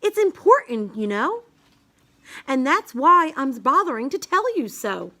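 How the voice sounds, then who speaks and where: A woman reading a rhyming children's picture book aloud in a measured, singsong way. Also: high voice, very animated, sharp tone. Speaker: storybook reader.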